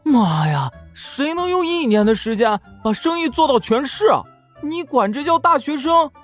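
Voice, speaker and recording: A man playing a brash, whiny young college student in an audio drama, complaining in loud exclamations. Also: extremely high pitch, big pitch swings, moderate pace, faint music behind, exaggerated voice. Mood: astonished. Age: young adult.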